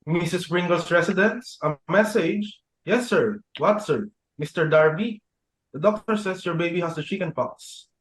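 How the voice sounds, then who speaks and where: Young man as a butler, formal, deferential, stiff, fairly high voice. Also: moderate pace, natural voice, low-quality recording. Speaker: student actor. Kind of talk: amateur dramatic reading.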